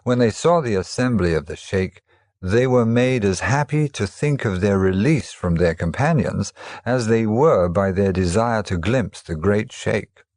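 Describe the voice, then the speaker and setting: A man narrating traditional teaching stories as a storyteller, in a measured, formal manner. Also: lowish voice, a little mellow, no echo, clean studio sound. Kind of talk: scripted narration of teaching tales.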